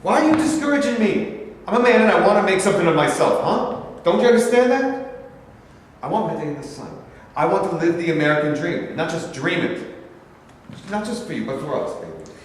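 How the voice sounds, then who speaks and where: A man's voice: high-pitched, expressive, a little fast, a little hiss or hum. An actor playing a frustrated, hot-tempered young working man, defensive and prone to outbursts, in a staged reading. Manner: defensive, bursts into shouting. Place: large bare rehearsal studio. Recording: echoey room from a distance.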